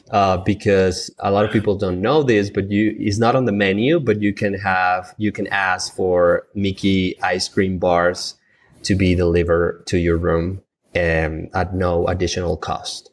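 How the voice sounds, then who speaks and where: A man's voice: low voice, flat delivery, a little slow. A man from Colombia with a Colombian Spanish accent, a native Spanish speaker, talking in a casual podcast chat with many fillers and restarts. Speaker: travel agent, guest.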